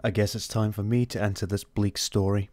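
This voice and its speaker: Low-pitched grim male detective played by an amateur actor, deadpan noir radio-play delivery, prominent music underneath. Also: brisk, lightly acted. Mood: grim resolve. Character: brooding, determined male detective inspector narrating his bleak story.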